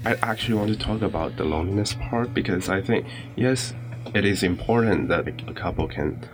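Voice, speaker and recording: A man's voice: lowish voice, brisk, faint music behind. A young adult man from China reflecting casually on his personal life as an interview guest.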